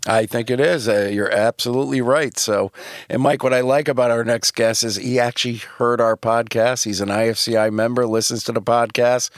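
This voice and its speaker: A man's voice: mid-range voice, steady tone, a little fast, dry recording, studio quality. A man, co-hosting a podcast in a conversational style. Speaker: podcast co-host.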